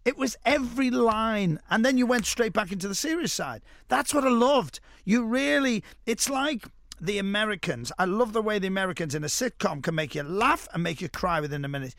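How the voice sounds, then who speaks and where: A man's voice: sits high, expressive, brisk, a bit bright, no echo, clean studio sound. A middle-aged man hosting a radio interview, chatty and rambling, often repeating his words. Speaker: radio presenter.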